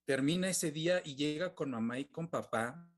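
A man's voice: on the high side, normal speed. A man hosting a discussion panel, telling a story with frequent fillers.

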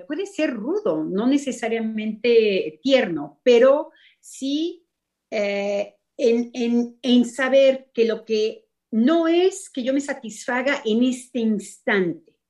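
A woman whose first language is not Spanish, speaking slowly and reflectively with many fillers and pauses. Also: on the high side, a little mellow. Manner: hesitant, many fillers, slow thoughtful pauses. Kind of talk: reflective spoken explanation.

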